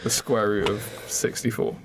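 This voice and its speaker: A man co-hosting a podcast, improvising a story casually and haltingly as he hunts for ideas, on a slightly noisy recording. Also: on the low side, little pitch movement. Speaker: podcast co-host.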